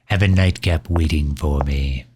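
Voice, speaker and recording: A man playing a courtly megalomaniac villain in an ASMR audio drama, speaking formally and with measured, gentle solicitude. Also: background noise. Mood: smug, composed. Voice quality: husky, low. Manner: formal, measured, silky endearments.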